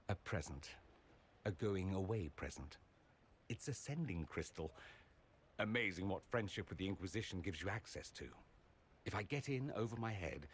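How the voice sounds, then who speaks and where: A low, arch aristocratic mage. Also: male voice, slight background noise. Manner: arch, dry, flamboyantly self-mocking. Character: witty, theatrical aristocratic mage, bittersweet but flippant. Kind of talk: video game cutscene acting.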